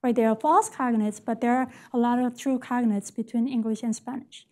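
High-voiced woman giving an academic lecture. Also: steady tone, fairly quick, a little mellow. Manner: explanatory, frequent "right?" checks and self-corrections. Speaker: education professor. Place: lecture stage at a podium.

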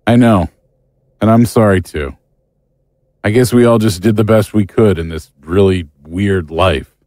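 A man's voice: on the low side, a little slow, a little mellow, no echo, clean studio sound, lightly acted. A man playing a blunt, informal private investigator, an ordinary world-weary guy, in a naturalistic audio drama. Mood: resigned, gruff. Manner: blunt, informal, matter-of-fact.

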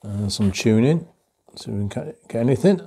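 A middle-aged man in a home workshop talks calmly and methodically through a radio repair, with many ums and uhs. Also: medium pitch, moderate pace, creaky, husky. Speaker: electronics restorer.

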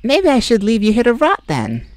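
Sassy male fae, blunt and mocking, lively up-and-down delivery, dry close audio. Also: a little high, normal speed, no echo. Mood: sassy teasing. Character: sassy snarky male forest fae healer. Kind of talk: ASMR roleplay.

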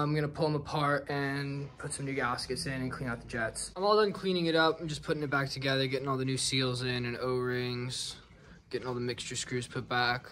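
A young man in his twenties talks through a motorcycle repair in a relaxed, explanatory way. His voice sits a little high, and he films himself at arm's length in a home garage. A faint hiss of noise runs underneath. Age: 20s. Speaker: motorcycle restoration vlogger. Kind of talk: casual talk to camera. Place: home garage and driveway, outdoors and indoors. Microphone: handheld camera at arm's length.

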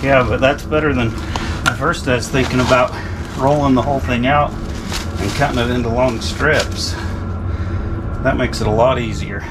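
A middle-aged man hosting a casual how-to video, thinking out loud as he works inside an ice fishing tent. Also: mid-range voice, fairly even intonation, moderate pace, loud music behind the voice, poor audio. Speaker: channel host. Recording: loud outdoor background noise.

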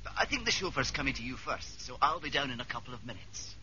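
A puzzled man, medium pitch, 1970s radio drama, faint noise. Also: animated, quick pace, bright, forceful tone, dull audio. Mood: affable.

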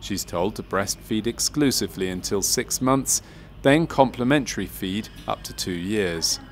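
A man reading scripted narration for a medical training film in a measured, matter-of-fact way. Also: on the low side.

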